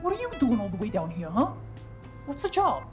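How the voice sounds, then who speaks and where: A woman's voice: on the high side, big pitch swings, fairly quick, a little mellow. An actress playing a tough, suspicious woman holding a shotgun, interrogating with menace, with music behind her. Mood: menacing. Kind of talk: audio drama acting.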